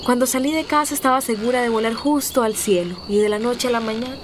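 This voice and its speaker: A woman's voice: a little high, fast talker, close to an everyday voice. A woman playing an earnest, troubled young migrant woman in a radio soap opera. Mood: shy, troubled. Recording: noisy, insects and chimes behind.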